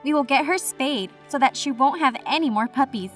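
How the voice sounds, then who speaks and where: A woman's voice: high-pitched, fairly quick, faint music behind, lightly acted. A woman playing a kind, reassuring animal control officer in a children's comic read-aloud.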